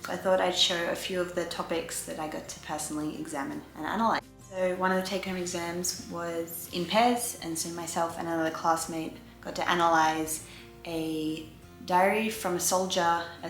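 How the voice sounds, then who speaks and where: A woman's voice: lowish voice, little pitch movement, brisk, gravelly. A woman, chatting casually to viewers as a vlogger giving an update. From Australia. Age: 20s. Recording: roomy echo, faint music behind.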